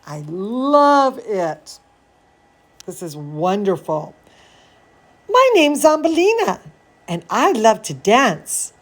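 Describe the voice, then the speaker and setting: A woman reading a picture book aloud to young children, gushing and expressive, with chatty asides to her listeners. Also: mid-range voice, slow pace, long pauses. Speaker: dance teacher hosting a children's story time.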